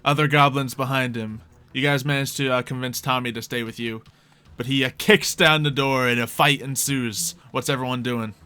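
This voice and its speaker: Bright-voiced man running a tabletop game. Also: mid-range voice, steady tone, normal speed, smooth. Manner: casual, conversational improvised narration. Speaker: game master. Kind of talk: narrating a tabletop roleplay game.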